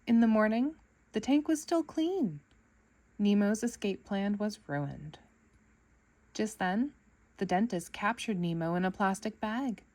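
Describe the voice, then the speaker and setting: A woman storyteller reading a children's book aloud in an expressive narrating style for kids. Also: medium pitch, measured pace.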